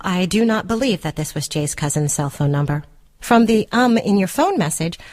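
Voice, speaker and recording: A prim, condescending girlfriend played by a woman actor in a radio story reading, mid-pitched and recorded very dry. She is controlling and self-righteous, picking out each formal word with clinical precision. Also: no room sound, lightly acted. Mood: accusatory. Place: radio studio.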